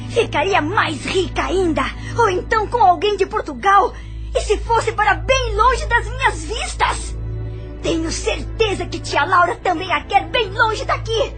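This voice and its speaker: An actress playing a bitter, jealous young woman in an audio novel, accusing and scornful in a melodramatic way. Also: very high voice. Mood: tearful fury.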